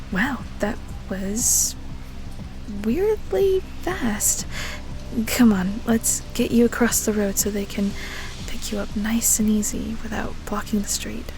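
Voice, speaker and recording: A woman playing a devoted, teasing young woman secretly in love with a best friend, tender and halting in an audio roleplay. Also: fairly high pitch, gravelly, poor audio. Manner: tender, halting, stammering when rattled. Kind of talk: audio roleplay acting to the listener.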